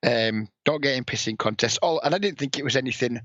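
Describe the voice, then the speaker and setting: A man with a northern English accent and a raspy voice, a blunt, heavily swearing guest in a casual podcast interview who sniffs and coughs. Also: mid-range voice, quick pace, a bit bright, dry recording. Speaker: poker player and coach, guest. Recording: dull lo-fi, dry sound.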